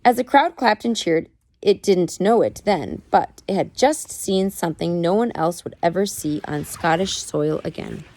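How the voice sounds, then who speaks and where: A woman reading a biography aloud at home in a measured storytelling way, with expressive emphasis. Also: lowish voice. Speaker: parent reading aloud to child. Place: at home with a child nearby.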